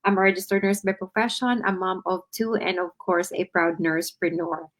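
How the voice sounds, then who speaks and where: A woman's voice: on the low side, fairly even intonation, moderate pace. A woman from the Philippines with a Filipino accent, introducing herself as co-host of an online talk. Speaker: co-host, registered nurse.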